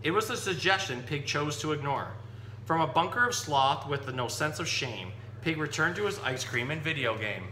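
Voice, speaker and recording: A man with a very bright, sharp voice presents animals and storybooks in an informal, explanatory way. The recording is roomy and echoey, with faint hum and noise behind him. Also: a little high, lively intonation, normal speed. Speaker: zookeeper presenting animals and reading stories to children. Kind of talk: informal animal lesson and picture-book read-aloud.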